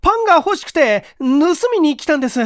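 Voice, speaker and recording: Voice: high voice, very animated, quick pace, a bit bright, no room sound, clean studio sound, pitched above the performer's own voice. A performer voicing a nervous, cornered male clerk in an audiobook, stammering and flustered as he pleads innocence. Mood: panicked.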